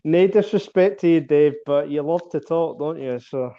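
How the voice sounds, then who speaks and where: A man's voice: fairly high pitch, normal speed, highs cut off. A Scottish man hosting a darts podcast interview, asking questions in a stumbling, casual way with repeated words. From Scotland. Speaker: podcast host.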